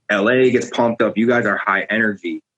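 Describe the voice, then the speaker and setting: A man, a car dealership sales director, speaking as a podcast guest in a flat, monotone way. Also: fairly low pitch, moderate pace, lo-fi sound. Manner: self-described monotone, even delivery.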